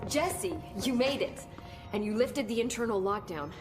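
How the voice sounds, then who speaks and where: An actress playing an eager, curious researcher in a video game, articulate and explanatory. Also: female voice, fairly high pitch, animated, normal speed, loud music behind the voice, natural voice. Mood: pleased, welcoming. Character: eager, curious woman researcher at a secret bureau.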